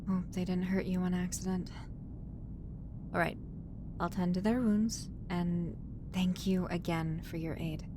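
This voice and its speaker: A caring human woman medic, acted by a woman in a spaceship roleplay drama. She speaks very slowly in a slightly low voice, gentle and hesitant as she fusses over her patient. The recording has a clear rumbling noise behind her. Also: little pitch movement, close to an everyday voice. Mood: relieved, apologetic. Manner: gentle, hesitant, fussing, murmured asides. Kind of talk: roleplay audio drama addressed to the listener. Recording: noisy audio with low rumble.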